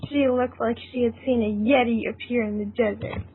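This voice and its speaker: A woman's voice: on the high side, steady tone, fairly quick. A woman narrating a story aloud as part of an informal group reading. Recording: tinny narrow phone-like line, hissy noise.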